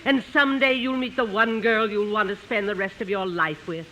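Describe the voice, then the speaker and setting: A domineering older mother from a 1950s radio comedy. The voice is high and forceful, full of disapproval and swinging in pitch. The old recording hisses faintly. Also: steady tone, normal speed, low-quality recording. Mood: lecturing.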